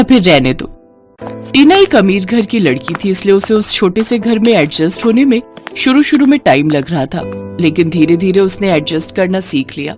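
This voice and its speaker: A woman narrating a scripted family story in an expressive, steady way. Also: mid-range voice.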